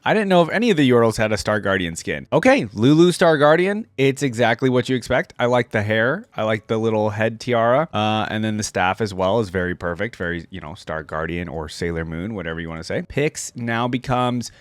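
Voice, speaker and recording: Casual man reacting, lively pitch swings. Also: fairly quick, hardly any pauses, dry recording. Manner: thinks aloud, informal. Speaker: reaction video host. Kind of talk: casual unscripted reaction commentary.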